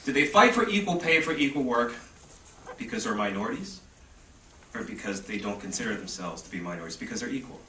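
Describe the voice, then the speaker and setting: Man, argumentative panelist, sharp bright voice, echoey hall, faint noise. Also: fairly low pitch, animated, quick pace. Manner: questioning, argumentative. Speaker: panelist at a press conference. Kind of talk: addressing reporters. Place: press club conference room. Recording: echoey room, distant mic, faint noise.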